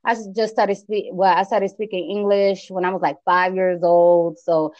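A woman from Connecticut with an East Coast accent, hosting a casual chat in an excitable way, with restarts and laughs. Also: fairly low pitch, normal speed, no room sound, lo-fi sound. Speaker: host.

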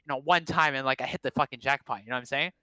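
A man's voice: medium pitch, very fast, sharp tone, dry recording. A man in his late twenties from Canada, a Cantonese speaker, telling rambling, profane, self-mocking stories in a casual chat. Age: 20s. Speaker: guest.

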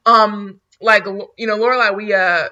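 A woman's voice: mid-range voice, fairly even intonation, moderate pace, bright, forceful tone, highs cut off. A woman in her early twenties chatting casually as a podcast co-host, blunt and full of fillers and laughs. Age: 20s. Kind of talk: casual chat.

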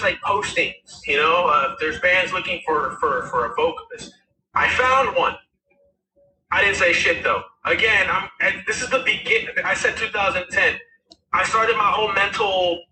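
A raspy-voiced man in his thirties, a musician guest telling his story in a rambling, casual way with many fillers. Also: high-pitched, bright, forceful tone, reverberant, far from the mic, a little hiss or hum, poor audio. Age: 30s. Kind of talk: casual interview talk.

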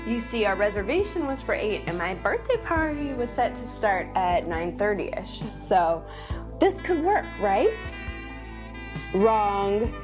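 Woman acting a melodramatic, exasperated female reviewer over music. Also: medium pitch. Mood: snarky. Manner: melodramatic, sardonic, emphatic. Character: exasperated, snarky young female online restaurant reviewer. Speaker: performer giving a dramatic reading. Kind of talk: theatrical comic monologue.

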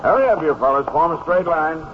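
A man's voice: on the high side, a little fast, close to an everyday voice. An American man playing a folksy, commanding sheriff with curt delivery in 1950s radio drama style. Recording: dull, noisy 1950s radio recording.

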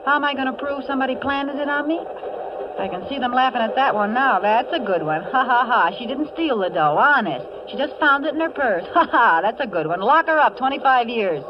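Wisecracking American city dame, muffled noisy radio. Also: female voice, high-pitched, expressive, a little fast. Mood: wry, wary. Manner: slangy, sardonic, tough-talking. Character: wisecracking, streetwise young city woman, hard-boiled and wary. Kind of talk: 1940s radio drama acting with first-person narration.

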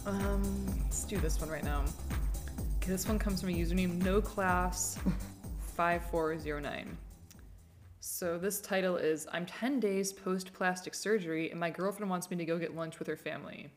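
A woman's voice: on the low side, moderate pace, music in the background. A woman co-hosting an advice show, chatting casually and reading listener questions aloud.

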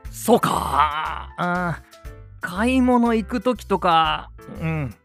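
A man's voice: high-pitched, expressive, extremely slow, fairly bright tone, exaggerated voice. A man playing an easygoing, slightly forgetful father in an amateur family radio drama, speaking casually and expressively. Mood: casual concern. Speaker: actor in a family audio drama. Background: background music.

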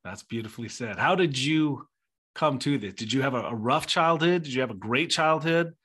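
A male host with a slightly husky, mid-pitched voice asks his guest friendly, curious questions. He moves quickly from one follow-up to the next in a casual interview. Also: fairly bright tone.

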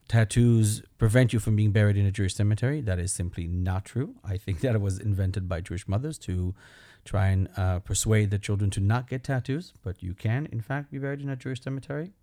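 Belgian-accented man, warm low voice. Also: dry recording, clean studio sound. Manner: earnest, personal, gently humorous. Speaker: rabbi and podcast host. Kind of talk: reflective solo talk.

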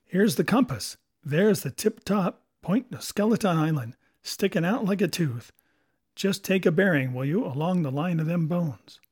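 A man voicing a scheming old male pirate cook with gruff, salty sailor talk, hearty and cunning. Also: high-pitched, lively intonation, normal speed, a little mellow. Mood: musing.

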